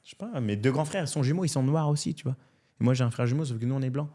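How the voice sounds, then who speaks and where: A French man in his thirties talks openly about his life in a relaxed interview. His delivery is animated and candid, and his voice sits in a middle range. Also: mid-range voice, rapid-fire. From France. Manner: animated and candid, often adds "tu vois". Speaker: comedian guest. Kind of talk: casual interview storytelling.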